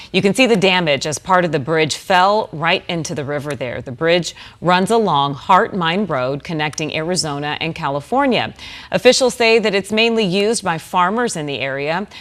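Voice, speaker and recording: A woman anchoring an evening newscast, reading stories in a brisk, steady way. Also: deep voice. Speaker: news anchor.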